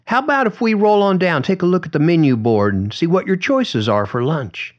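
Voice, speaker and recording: Man voicing a gentle, lilting woman care aide. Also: a little high, animated, a little fast, dull audio, voice pushed up, natural voice. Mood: cheerful, coaxing. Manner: coaxing, soothing, folksy. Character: gentle, cheerful middle-aged woman care-home aide. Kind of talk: audiobook character dialogue.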